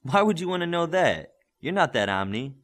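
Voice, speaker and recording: Casting: male reader as hero's mentor, instructive and commanding, lively pitch, encouraging. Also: normal speed, full character voice. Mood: firm, instructive. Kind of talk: audiobook character acting.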